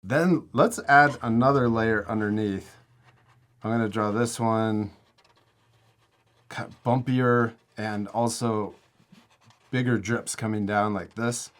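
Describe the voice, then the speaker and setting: A man's voice: fairly low pitch, fairly even intonation, slow pace, long pauses, fairly bright tone. A man hosting a casual step-by-step art tutorial, giving encouraging, simple instructions for children. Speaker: host teaching drawing to children.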